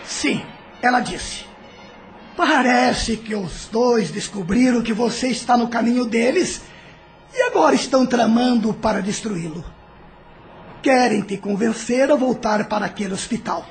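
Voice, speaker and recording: Um ator interpretando um espírito maligno dominador, debochado e manipulador em uma novela de áudio. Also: male voice, very high-pitched, measured pace, faint music behind. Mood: sly mocking. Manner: mocking, manipulative, theatrical. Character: domineering, scheming male evil spirit, sly and vengeful. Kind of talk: audio drama acting.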